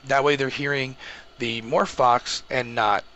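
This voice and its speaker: A man talking viewers through a software setup step by step in an informal, explanatory way. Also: medium pitch, fairly even intonation, unhurried, lo-fi sound. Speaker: host of a tech tutorial. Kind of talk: casual step-by-step screen tutorial. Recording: clearly noisy home microphone recording.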